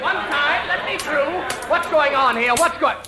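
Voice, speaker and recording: High-pitched, prissy male floorwalker. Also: unhurried, reverberant, over a noisy crowd. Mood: prissy indignation. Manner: indignant, mocking. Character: fussy, prissy male department store floorwalker. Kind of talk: old-time radio comedy acting. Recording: noisy old radio recording, studio audience.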